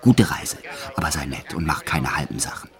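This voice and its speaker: Radio play lead: brutal career criminal confessing matter-of-factly, extremely low voice. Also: male voice, lively intonation, moderate pace. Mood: menacing, enraged. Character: violent male career criminal confessing his life, brutal yet tender. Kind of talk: first-person radio play narration.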